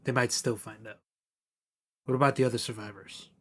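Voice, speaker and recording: A man playing an earnest soldier serving a knight in an audio drama, with a husky voice. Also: mid-range voice, fairly even intonation, a little fast, fairly bright tone. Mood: uneasy.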